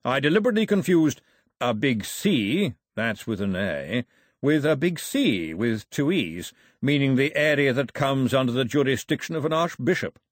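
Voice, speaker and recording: Plummy king acted by a man, storybook, pompous, genial, easily bewildered, lively intonation, dry close sound. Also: medium pitch, moderate pace, no room sound, voice pushed up. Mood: pleased, punning. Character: breathless, plummy, bewildered middle-aged king and fond father.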